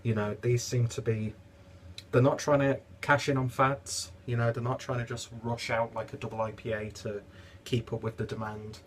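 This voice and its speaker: A man's voice: mid-range voice, level tone, moderate pace, slight background noise. A young man with a stuffy, congested voice, casually reviewing a beer at home with rambling asides and lots of fillers. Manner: rambling, chatty, many fillers. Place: home kitchen, indoors.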